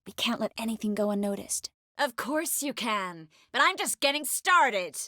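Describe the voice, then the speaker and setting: A woman playing a poised, wry, warm-hearted sorceress in a video game, composed and lightly teasing. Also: on the high side, animated, moderate pace, dry recording, clean studio sound. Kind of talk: scripted game character dialogue.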